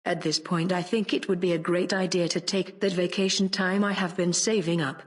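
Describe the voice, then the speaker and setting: A weary female officer acted by a woman speaks in a fairly low voice. She is wry and complaining, with the dry delivery of a scripted audio drama. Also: level tone, moderate pace, lightly acted. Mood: weary, resigned.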